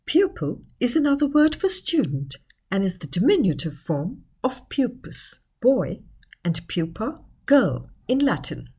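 A woman reading a scripted educational article in a measured, explanatory manner. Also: fairly high pitch, sing-song, a little mellow, breathy edge. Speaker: article narrator.

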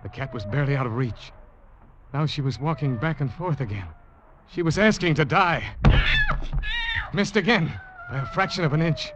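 A man's voice: fairly high pitch, expressive, noisy background, lightly acted. An actor playing a guilt-ridden young seaman who narrates his ordeal in tense, dramatic old-time radio style. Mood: frantic, excited. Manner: tense, dramatic, deliberate storytelling. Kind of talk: 1940s-50s radio drama acting, suspense narration. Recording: muffled old radio broadcast, loud music behind.

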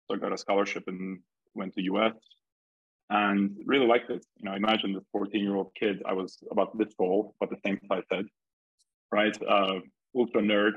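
A man's voice: lowish voice, flat delivery, moderate pace, breathy edge. A middle-aged man from Turkey, a native Turkish speaker, telling his story casually as a podcast guest. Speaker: podcast guest, startup investor and entrepreneur. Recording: echoey, dull lo-fi call audio.

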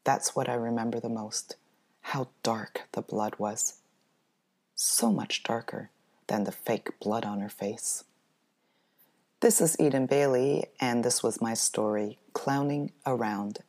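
A woman author reading her own spooky story as dramatic scripted narration, in a measured, deliberate manner. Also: very deep voice, darkish tone.

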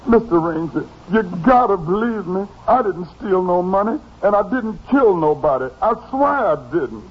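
High, husky pleading suspect. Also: male voice, expressive, normal speed. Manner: earnest, folksy, ungrammatical. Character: pleading, uneducated male murder suspect protesting innocence. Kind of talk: 1950s radio crime drama acting. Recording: muffled old radio recording.

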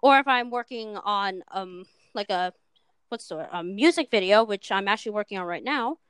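A woman's voice: mid-range voice, animated, normal speed, fairly bright tone, clear, resonant tone, dry recording. A seventeen-year-old girl from Minnesota chatting as a guest about her animation work, on a cheap microphone, with lots of ums. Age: teens. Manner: chatty, filler-heavy, self-deprecating. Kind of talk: casual chat.

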